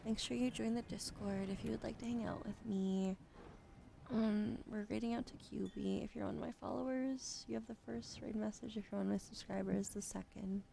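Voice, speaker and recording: A young woman streaming ASMR, drowsy and soft-spoken, slipping into whispers as she chats. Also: mid-range voice, steady tone, fairly quick, vocal fry, slight background noise. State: sleepy. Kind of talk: sleepy ASMR stream chat.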